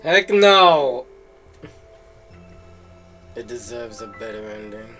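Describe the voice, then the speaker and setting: A man's voice: medium pitch, big pitch swings, slow pace, music in the background. A man streaming a game, reacting casually and emotionally to the story with exclamations. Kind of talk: casual live gameplay reaction.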